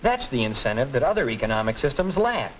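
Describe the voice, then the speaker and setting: A man's voice: medium pitch, very animated, fast, natural voice, low-quality recording. An American man playing a confident, assertive schoolboy debater in a 1940s educational film. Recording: hissy old film soundtrack.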